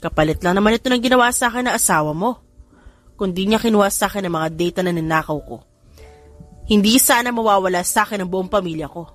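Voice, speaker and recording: A woman's voice: medium pitch, fast talker, natural voice. Woman narrator doing a bitter kidnapper guy taunting his captive, audio drama, light music behind. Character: taunting, bitter male kidnapper demanding ransom. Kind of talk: audiobook character dialogue.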